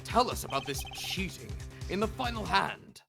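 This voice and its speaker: A man's voice: high voice, animated, moderate pace, vocal fry, pushed and bright, soft background music, heavily acted. A man playing a pompous, easily flustered older courtroom judge in a game fandub, speaking formally. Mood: solemn.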